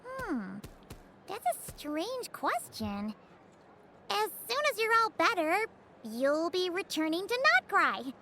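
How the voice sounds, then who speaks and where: A woman's voice: very high voice, very animated, slow, bright, forceful tone, a little hiss or hum, exaggerated voice. A woman voicing a perky, childlike little companion creature in a video game, chatty and curious.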